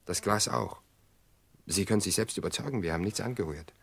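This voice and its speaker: An actor in a German radio drama playing a worried, protective man, husky-voiced, speaking in a calm, earnest and reassuring way. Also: fairly low pitch, a little fast. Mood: sober, recounting. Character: worried, protective man soothing a bereaved woman.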